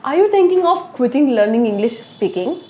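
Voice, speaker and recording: Indian-accented woman teacher, Hindi native, high-ish voice, coaxing and earnest, echoey room. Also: sing-song, normal speed, dull audio. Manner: earnest, coaxing, frequent "okay?" checks. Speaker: English teacher. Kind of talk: motivational video lesson.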